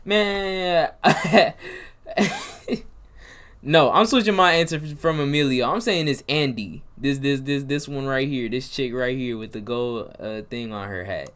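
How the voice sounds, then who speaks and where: A man's voice: mid-range voice, a little slow, fairly bright tone. A man casually reacting to a video, talking slangy and laid-back to his viewers. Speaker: reaction streamer.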